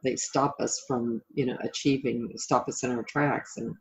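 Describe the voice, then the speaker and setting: A woman's voice: rumbling low, fairly even intonation, moderate pace, creaky, low-quality recording. A middle-aged woman being interviewed as a life coach and author, talking reflectively and conversationally in an encouraging way.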